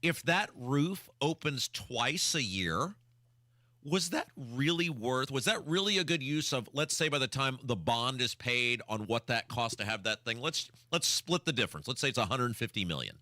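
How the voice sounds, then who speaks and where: A man's voice: mid-range voice, lively intonation, normal speed, sharp tone, no echo. A man hosting talk radio, giving opinionated commentary in an exasperated, emphatic way with rhetorical questions. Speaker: radio talk show host.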